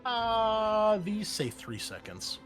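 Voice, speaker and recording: A man's voice: high voice, big pitch swings, slow, fairly bright tone, soft background music. A man acting as game master, narrating and refereeing a tabletop roleplaying game in an informal, conversational way.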